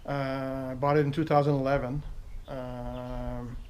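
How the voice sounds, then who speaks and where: A man's voice: mid-range voice, little pitch movement, measured pace, darkish tone, smooth. A man in his fifties from Quebec with a strong French Canadian accent, French his first language, rambling casually through a home video with many fillers and restarts. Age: 50s. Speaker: retired military motorcycle owner.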